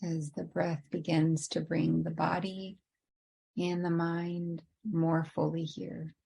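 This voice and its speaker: A woman leading a guided meditation in an unhurried, gently inviting way with long pauses. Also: lowish voice, level tone, creaky, slightly rough, rough recording.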